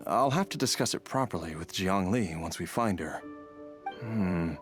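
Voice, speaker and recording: Grateful dad in a game, soft and relieved, pitch moves around a lot. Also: male voice, lively intonation, moderate pace, over music. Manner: relieved, gentle and thankful. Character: recovering middle-aged male father, grateful and mild.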